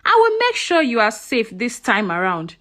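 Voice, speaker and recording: Woman acting an emotional, high-voiced storybook mother. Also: wildly swooping pitch, normal speed, a bit bright. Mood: remorseful, tender. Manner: emotional, emphatic, dramatic. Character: heartbroken, indignant mother, protective of her young daughter. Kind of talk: folktale storytelling character dialogue.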